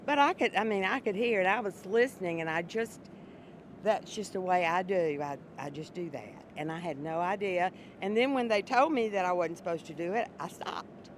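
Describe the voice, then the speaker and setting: A woman serving as a juror, answering interview questions in a loud voice, often restarting and repeating herself. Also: on the low side, moderate pace, slight background noise.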